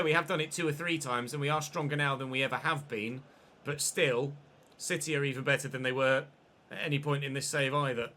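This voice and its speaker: A man commentating his football video game in a chatty way, breaking into excited shouts at big moments. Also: on the high side. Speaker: gaming channel host.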